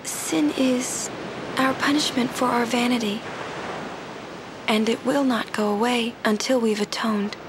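A woman playing a gentle, dutiful, wistful young summoner in a video game cutscene, speaking softly, hesitantly and earnestly. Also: measured pace, natural voice. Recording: game audio over steady surf-like rumble.

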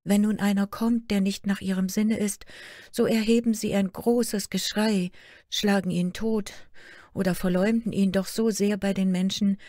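A woman's voice: mid-range voice, dark tone, dry recording, clean studio sound, pitched above the performer's own voice, close to an everyday voice. A woman voicing Märchen, a young girl who is the spirit of fairy tales, pleading and tearful.

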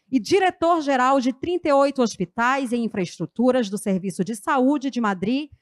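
A woman's voice: fairly high pitch, no echo, studio quality. Formal Brazilian woman presenting a speaker. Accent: Brazilian Portuguese. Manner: formal, reading from notes. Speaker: master of ceremonies. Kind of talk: formal introduction read aloud. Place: university auditorium. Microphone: handheld mic at lectern.

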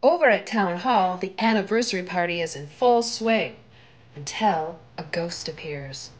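An older woman reading a children's picture book aloud in an expressive, dramatic way. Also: lowish voice, unhurried.

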